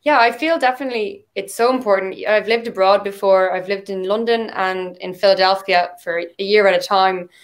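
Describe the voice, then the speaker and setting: A woman's voice: medium pitch, steady tone, normal speed, hardly any pauses. An Irish woman, a poet, chatting in a casual interview and filling her talk with "like" and "um". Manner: chatty, with frequent "like" and "um" fillers. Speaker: poet and guest.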